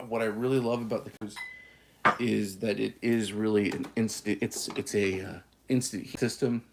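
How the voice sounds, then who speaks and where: Man, Californian, casual coffee vlog host, folksy, low voice, gravelly. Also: fairly even intonation, normal speed, background noise. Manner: easygoing, folksy, says y'all, lots of fillers and restarts. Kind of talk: casual live stream chat.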